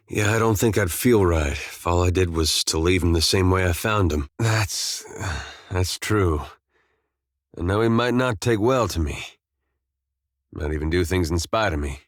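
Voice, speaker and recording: A man voicing a gentle, world-weary farmer turned adventurer in a video game, plain-spoken and loyal, with a folksy, understated, dryly humorous manner. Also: lowish voice, no room sound, studio quality. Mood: troubled, thoughtful. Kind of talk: video game companion dialogue.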